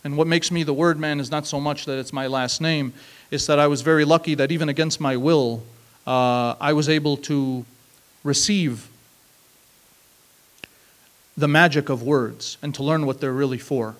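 A man in his thirties tells a personal story from a stool on a small open-mic stage, holding the microphone close. His voice sits a little high for a man, and he speaks in a measured, reflective way, returning to phrases for emphasis. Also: fairly even intonation. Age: 30s. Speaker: spoken word performer. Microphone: handheld mic.

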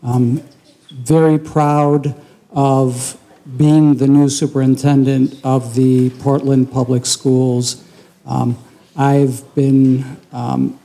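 Very mellow, slow-speaking man giving a speech. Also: mid-range voice. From born in Cuba, left very young. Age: middle-aged. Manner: halting, measured delivery with frequent pauses. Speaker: school superintendent. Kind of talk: public speech to parents. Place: school library, indoors. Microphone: handheld microphone.

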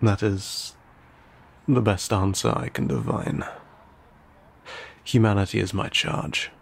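A low-pitched, husky old vampire, acted by a man, speaking slowly in an intimate audio drama. He is courteous and teasing, with a lonely hunger beneath his archaic, formal words. His breathy delivery often sinks toward a whisper. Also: full character voice. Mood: searching, wry. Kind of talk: intimate audio roleplay addressed to the listener.

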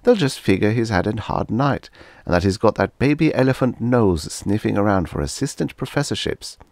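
A sardonic, darkly bitter wife, acted by a male reader. She scolds drily in a raised voice with lively intonation, as in a storybook. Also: fairly low pitch, normal speed, no echo, studio quality, voice pushed up. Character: dark, bitter, sepulchral professor's wife. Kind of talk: storybook character reading.

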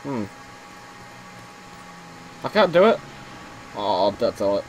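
A man commentating casually on his own gameplay, slightly hoarse as he is losing his voice, muttering reactions as he plays. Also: fairly low pitch, sing-song, slow, slight background noise, poor audio. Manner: chatty, mutters reactions while playing. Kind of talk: casual let's-play commentary.

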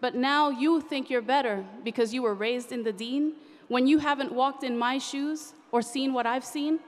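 A woman's voice: high-pitched, normal speed. Impassioned woman reciting a poem onstage. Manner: rhythmic, impassioned, rhyming delivery. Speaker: spoken word poet. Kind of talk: performed spoken word poetry. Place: large convention hall stage. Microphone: podium microphone. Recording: reverberant convention hall.

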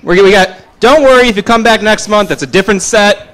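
A man's voice: high voice, brisk, fairly bright tone. A young man from upstate New York, a band member making chatty, joking announcements to the crowd from an outdoor stage. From Syracuse, New York, USA. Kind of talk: casual stage banter. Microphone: stage vocal mic.

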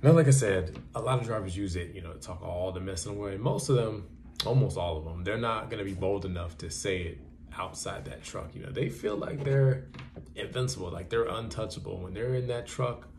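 A man's voice: on the low side, fairly quick, vocal fry, background noise. A young man talking casually and bluntly to camera, giving advice in a repetitive, conversational way. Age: young adult. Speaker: trucker giving advice in a vlog. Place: small plain indoor room.

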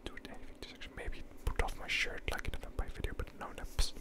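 A young man talks on and on in a soft whisper, the way ASMR creators do. His chat is loose and crude, full of swearing and filler. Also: fast talker. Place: at home.

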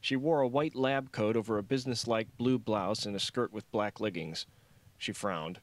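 Male audiobook narrator, fairly low pitch, scripted fiction read in a dry recording. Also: moderate pace, no room sound.

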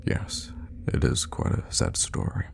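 A man playing a suave, teasing, sadistic vampire lord in an ASMR roleplay, speaking in an unhurried, theatrical and menacing way with a gravelly voice. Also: a little hiss or hum, close to an everyday voice. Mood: wry, wistful. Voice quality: very deep, gravelly. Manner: unhurried, theatrical, with drops into whispers. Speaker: voice actor in an audio roleplay.

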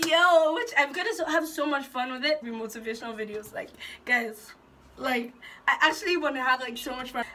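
A young woman from Ghana chatting casually and excitedly in an intro vlog, giggly and animated, recorded in a small bedroom. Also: high voice, slight background noise. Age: young adult. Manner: bubbly, giggly, animated, gesturing. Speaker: YouTube vlogger.

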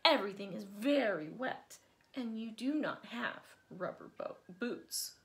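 A woman's voice: fairly high pitch, expressive, a little slow, fairly bright tone. A woman voicing a firm, scolding mother lion in a storybook.

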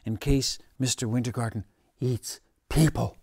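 A man voicing excitable neighbourhood children passing on scary rumours in a storybook, dramatic and full of warning. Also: mid-range voice, measured pace, breathy edge, dry recording, clean studio sound.

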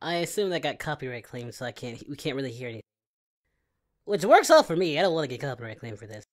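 A man's voice: medium pitch, big pitch swings, fast talker, lightly acted. A man voicing a childlike cartoon hero, giggly and easily baffled, giving casual reaction commentary. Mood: bemused. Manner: giggly, exclaiming. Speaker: reaction host.

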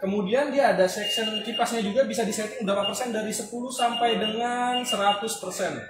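A young man talks through a casual product demo in a chatty, animated way, filmed on a phone a few steps off. The small room is echoey, and loud music plays behind him. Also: high-pitched, fairly quick. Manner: chatty, animated, gestures while explaining. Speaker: product reviewer. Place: small indoor kitchen showroom.

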